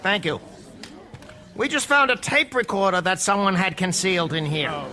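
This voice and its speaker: An actor playing a stern, authoritative male judge who delivers formal rulings in a measured way. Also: high voice, big pitch swings, bright, forceful tone, heavily acted. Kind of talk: live stage radio drama.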